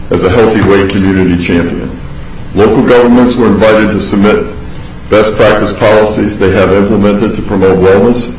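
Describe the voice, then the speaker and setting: A male health official presenting an award in a measured, formal way at a city council meeting. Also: fairly low pitch. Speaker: county health department director. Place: council chamber, indoors. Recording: echoey council chamber, faint hiss.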